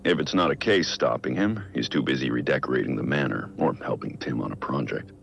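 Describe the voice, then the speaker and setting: A brooding middle-aged vigilante mentor narrates a video game audio log in an extremely deep, gravelly voice. He is grave and introspective, weighed down by guilt. The sound is slightly dull and lo-fi. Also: brisk, fairly bright tone, full character voice. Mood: measured, reflective. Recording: muffled lo-fi audio log, music underneath. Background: music.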